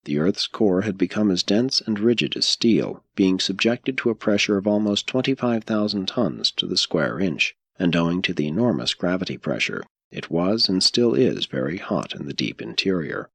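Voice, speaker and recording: A man reading scripted audiobook narration in a measured, solemn and formal manner. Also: low-pitched, animated, creaky, a bit bright, husky, dry recording.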